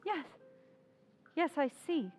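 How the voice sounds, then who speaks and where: An actress playing a frightened, desperate, suspicious wife in an old-time radio horror play, halting and highly emotional. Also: female voice, lively intonation, darkish tone, soft background music. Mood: wary.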